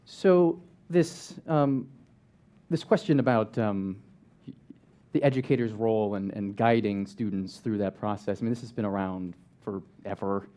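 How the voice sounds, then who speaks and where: A man's voice: lowish voice, warm tone. A man speaking as an educator on a panel, wry and joking, thinking aloud as he goes. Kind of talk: panel discussion.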